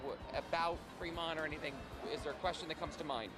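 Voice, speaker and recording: A man interviewing visitors on a busy street outdoors, asking brisk, friendly questions. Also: fairly high pitch, loud music behind the voice, over a noisy crowd. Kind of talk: street vox-pop interview.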